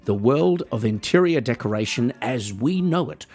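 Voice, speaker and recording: An Australian man hosting a podcast, delivering a wry, rambling, mock-earnest comedic monologue with a husky voice. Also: medium pitch, expressive, normal speed, a little mellow, soft background music. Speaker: podcast host.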